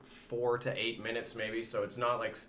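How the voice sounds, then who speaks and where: A man's voice: medium pitch, little pitch movement, moderate pace, pushed and bright, a little hiss or hum. A man, a podcast guest, telling a story with enthusiasm and laughing. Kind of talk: casual chat.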